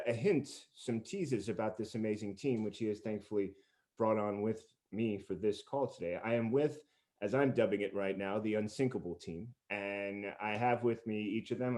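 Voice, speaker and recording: A man's voice: on the low side, darkish tone. A man hosting a podcast, speaking in a wordy, effusive way as he introduces and chats with guests. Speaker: podcast host. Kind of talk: casual interview chat and scripted introduction. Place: remote group call recording.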